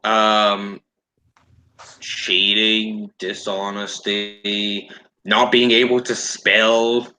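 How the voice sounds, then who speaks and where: A man's voice: lowish voice, little pitch movement, very slow, bright, forceful tone, a little hiss or hum, rough recording. A man hosting a casual commentary chat in a blunt, sardonic, sweary way. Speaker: host.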